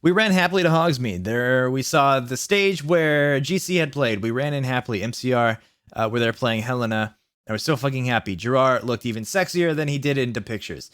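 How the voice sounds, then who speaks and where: A man's voice: mid-range voice, a little fast, a bit bright, clean tone, no echo, clean studio sound. A man hosting a comic dramatic reading of fan fiction, mock-earnest and sarcastic in his asides.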